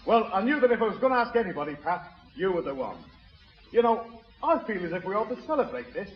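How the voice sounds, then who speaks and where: An actor playing a jovial middle-aged Northern English bachelor who pompously muddles fancy words in a 1960s radio sitcom. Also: male voice, high voice, very animated, fast talker. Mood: delighted. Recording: muffled, hissy, reverberant 1960s radio recording.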